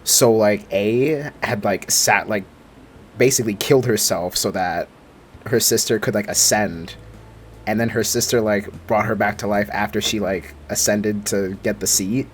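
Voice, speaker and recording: A man's voice: on the low side, fairly even intonation, measured pace, a little hiss or hum. Slangy young man reacting to a game. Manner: slangy, informal. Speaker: gaming video creator. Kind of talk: casual reaction commentary.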